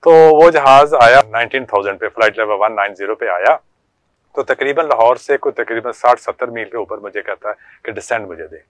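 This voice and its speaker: A man's voice: mid-range voice, fairly quick, no room sound, low-quality recording. An older man with a Pakistani accent telling stories from his working life as an interview guest, in a conversational way with reported dialogue. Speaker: guest, former air traffic controller.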